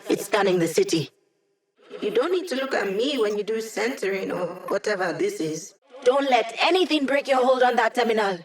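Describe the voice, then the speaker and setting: A woman's voice: a little high, close to an everyday voice. An actress playing a wry young female hacker who supports the team with dry, sarcastic quips in game voice lines. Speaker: video game character.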